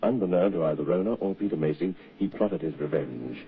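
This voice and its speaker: An actor playing a sardonic narrator of a sinister radio tale, with an arch, ominous delivery. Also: male voice, low-pitched, animated, a little fast, background noise. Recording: thin, phone-like old radio recording.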